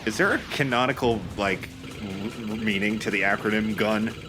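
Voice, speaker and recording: A man's voice: on the low side, normal speed, over music. A man giving casual, joking commentary as he and his friends go through a video game. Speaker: let's play commentator.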